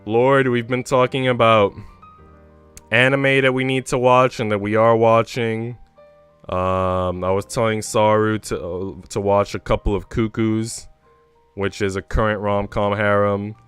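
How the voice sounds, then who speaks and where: A man's voice: lowish voice, flat delivery, unhurried, a bit bright, clean tone, no echo, faint music behind. A man livestreaming in a casual, rambling, informal chat style, swearing freely. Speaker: live streamer.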